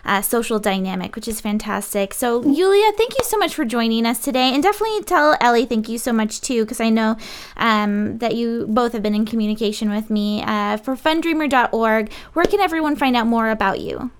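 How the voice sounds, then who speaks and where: A bubbly woman hosts a live online interview show, her voice high and bright. She gushes over her guests and signs off with effusive thanks, speaking over a video call. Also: expressive, a little fast, smooth. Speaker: host of live web show. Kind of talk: upbeat live-show hosting.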